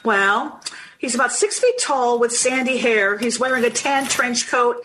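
Highish, fretful 1950s mother. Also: expressive, a little slow, sharp tone, a little hiss or hum, rough recording. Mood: worried. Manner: hesitant, polite, fretful. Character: anxious, polite 1950s mother of two young children. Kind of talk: old-time radio drama acting.

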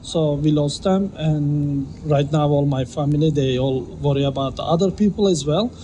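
A man from Turkey with a Turkish accent, a restaurant owner speaking haltingly with many fillers in a news interview. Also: fairly high pitch, warm tone, a little hiss or hum, rough recording.

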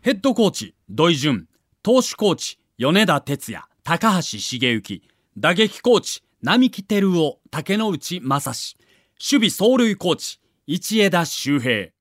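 A man's voice: high-pitched, a bit bright, no room sound, studio quality. A man narrating a scripted documentary as a story, with dramatic emphasis.

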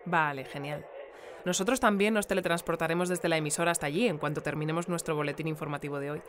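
A woman's voice: low-pitched, fairly even intonation, fast talker, close to an everyday voice. Woman playing a Spanish radio newscaster over noisy ambience. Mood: polite, inquisitive. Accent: Spain. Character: polite, chatty local radio newscaster. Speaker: radio news presenter. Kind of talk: radio drama news bulletin, live interviewing.

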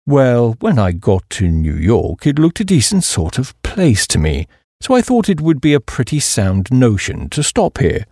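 A man voicing a hapless, dim young upper-class English gentleman who blusters and rambles in slang. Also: medium pitch, wildly swooping pitch, unhurried, dry recording, clean studio sound, exaggerated voice. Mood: gloomy, rambling.

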